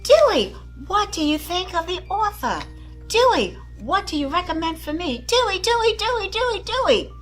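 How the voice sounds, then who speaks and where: A woman reading a storybook as excited, clamoring young schoolchildren, exclaiming and pestering. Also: extremely high pitch, moderate pace, faint music behind, voice pushed up, heavily acted.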